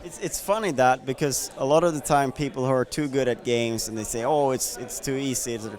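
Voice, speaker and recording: A man's voice: mid-range voice, a little fast, darkish tone. A man interviewing a game developer outdoors in a city, asking loose questions with repeated words.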